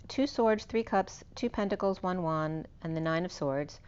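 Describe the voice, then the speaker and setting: Woman, tarot reader, voice going hoarse, low-pitched, muffled lo-fi sound. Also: normal speed, a little mellow. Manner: meandering, self-correcting, lists cards and numbers. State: losing her voice. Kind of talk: unscripted spiritual tarot reading.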